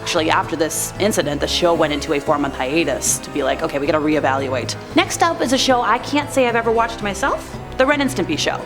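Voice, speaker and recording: A woman's voice: low voice, lively intonation, quick pace, hardly any pauses. A woman in her twenties hosting a scripted countdown video, with chatty asides and an informal, playful, folksy way of talking over background music. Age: 20s. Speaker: host of a scary countdown video channel.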